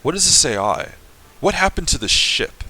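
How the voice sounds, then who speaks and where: A man's voice: fairly high pitch, very animated, moderate pace, pushed and bright, husky. A young man reading through a game aloud, musing and thinking aloud between passages. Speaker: audiobook reader.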